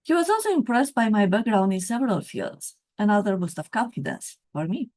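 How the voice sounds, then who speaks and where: A middle-aged woman from Romania, reading her own story aloud in a measured, reflective way. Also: mid-range voice, sing-song, darkish tone.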